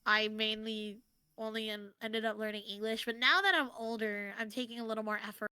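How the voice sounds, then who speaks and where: A woman's voice: a little high, fairly even intonation, a little fast, bright, forceful tone, clean tone, no echo. An American woman chatting casually and expressively as a guest on an interview stream. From United States. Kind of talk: casual chat.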